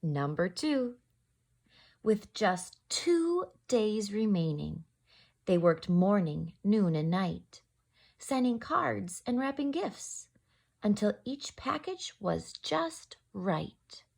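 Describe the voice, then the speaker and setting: A woman reads a picture book aloud for young children as their storyteller. Her delivery is slow and very animated in pitch, with some creak in the voice. She speaks directly to her little listeners. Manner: expressive, addresses young listeners with questions. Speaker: storyteller, host.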